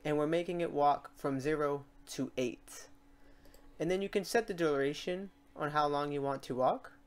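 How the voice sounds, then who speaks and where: A software tutorial host with a voice of middling pitch. The delivery is casual and unscripted, explaining each step while working and thinking aloud along the way. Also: moderate pace, clean tone.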